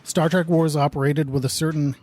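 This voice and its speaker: A man's voice: on the high side, fairly even intonation, brisk, a little mellow, natural voice. A man playing a jokey, easily flustered time-crime investigator in a comedic radio drama. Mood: patronizing, flustered.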